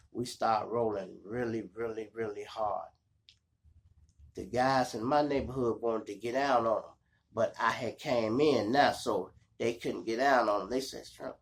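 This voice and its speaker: A man with a Chicago accent and a gravelly voice, hosting a show and telling street stories in slangy, animated style, acting out the dialogue. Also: mid-range voice. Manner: animated, slangy, addressing listeners as y'all. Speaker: online show host and storyteller. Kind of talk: casual street storytelling with acted-out dialogue.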